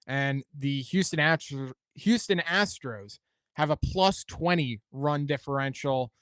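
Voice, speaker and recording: A man's voice: mid-range voice, unhurried, fairly bright tone, clean tone, dry recording, clean studio sound. A man hosting a sports talk show, chatting casually and thinking aloud as he weighs his opinions. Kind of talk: casual sports commentary chat.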